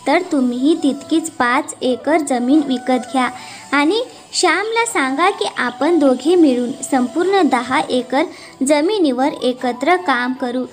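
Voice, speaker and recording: A woman voicing an insistent, scheming village farmer's wife in an animated moral story. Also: high voice.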